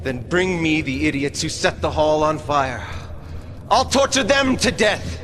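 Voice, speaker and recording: A man's voice: a little high, lively intonation, a little slow, a little hiss or hum. A man playing a scheming, sadistic baron in a video game cutscene, with a theatrical, menacing and gloating manner. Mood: furious. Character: scheming, sadistic male baron of a villainous noble house. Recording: loud music under the voice.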